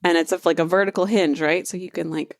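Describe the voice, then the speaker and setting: A woman's voice: lowish voice, fairly even intonation, fast talker, dry recording, clean studio sound. A woman co-hosting a casual tech podcast, confident and teasing, using "like" a lot as she explains. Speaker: podcast co-host.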